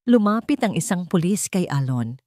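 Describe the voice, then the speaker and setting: A woman's voice: on the low side, sing-song, moderate pace, darkish tone, no room sound, clean studio sound. A woman narrating a scripted dramatic story.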